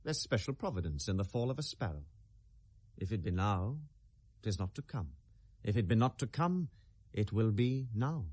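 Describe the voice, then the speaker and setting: Brooding young British prince: ironic, deliberate, low husky voice, theatrical classical radio drama. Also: male voice, very animated, darkish tone. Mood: calm, philosophical. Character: brooding, sardonic, grief-struck young prince. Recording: 1970s radio drama recording.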